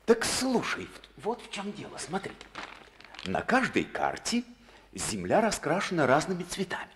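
An actor playing an earnest, principled deputy official who insists on his argument in a radio drama. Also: mid-range voice. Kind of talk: 1950s Soviet radio drama acting.

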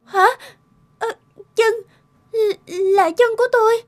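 A woman voicing a young, anxious river goddess who protects her waters, speaking earnestly in an animated fairy-tale dub. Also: very high voice, takes it slowly. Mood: confused, frightened. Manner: earnest, dramatic self-talk.

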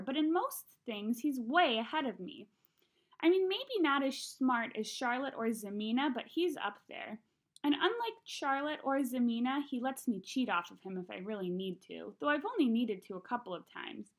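A young woman reads a children's novel aloud in an expressive, conversational way, acting out the dialogue. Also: on the high side, normal speed. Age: young adult. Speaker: read-aloud narrator. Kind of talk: expressive audiobook-style reading of a children's novel. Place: small room at home.

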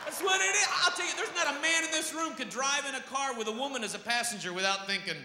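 A man performing a brash, emphatic stand-up comedy routine on a theater stage into a handheld microphone, swearing and often rising to a shout. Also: very high-pitched, fast. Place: large theater stage before an audience. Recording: echoey hall with noisy crowd. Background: audience noise and laughter.

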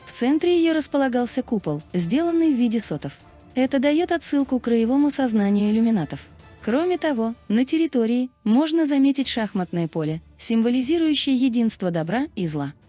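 A woman's voice: on the high side, warm tone. A woman reading a scripted explainer narration as a narrator. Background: background music.

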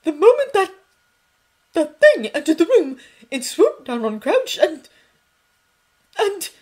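A woman reading a story voices a stern older female professor, loud, shrill and indignant. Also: sing-song, slow pace, exaggerated voice. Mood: furious.

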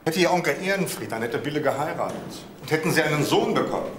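An actor playing a brisk, matter-of-fact police detective who confronts people in a crime audio drama. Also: male voice, on the high side, expressive, a bit bright, echoey room, slight background noise. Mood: accusing.

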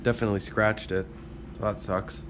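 Chatty carver in a noisy workshop. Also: male voice, lowish voice, level tone, brisk. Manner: chatty, talks to camera while working. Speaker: chainsaw carver. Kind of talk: casual vlog talk. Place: large cluttered wood workshop. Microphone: action camera. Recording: echoey workshop with loud background noise. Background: workshop ambience and machinery noise.

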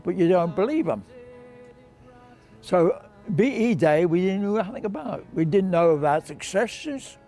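A man's voice: on the high side, mellow. A man in his nineties, a war veteran, recalling his past for an interview in short phrases, often repeating himself. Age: 90s. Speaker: war veteran, former prisoner of war. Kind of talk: interview reminiscence. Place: outdoors on a bench by a car park.

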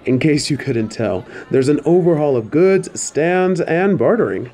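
A man's voice: fairly high pitch, expressive, a little slow, dark tone, a little hiss or hum. A man playing a friendly, easygoing wagon driver in an audio drama, casual and chatty. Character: easygoing, friendly male travel driver in ancient China. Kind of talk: fan audio drama acting.

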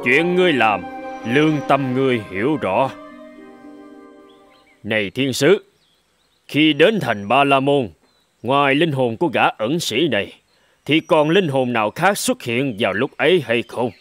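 A man's voice: mid-range voice, expressive, measured pace, long pauses, a bit bright, soft background music. A man voicing a stern, commanding saint who judges souls in a fairy-tale cartoon, with an authoritative, reproving manner. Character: stern, commanding male saint judging souls at heaven's gate.

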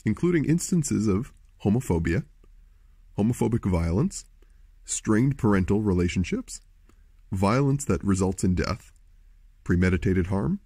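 A man with a gravelly, husky voice reading a scripted content warning as an assistant director. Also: lowish voice, a little mellow, no room sound, studio quality.

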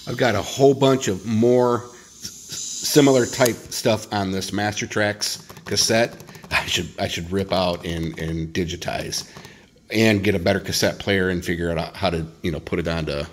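Low-voiced middle-aged man, casual vlogger. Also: normal speed, background noise. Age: 50s. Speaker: DIY video host. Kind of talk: casual vlog narration. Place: indoors at home. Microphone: handheld phone.

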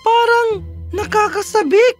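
An earnest little cartoon boy, brave and childlike. The voice is pitched very high, with music behind it. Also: unhurried. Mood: eager, wary. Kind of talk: fairy tale cartoon dialogue.

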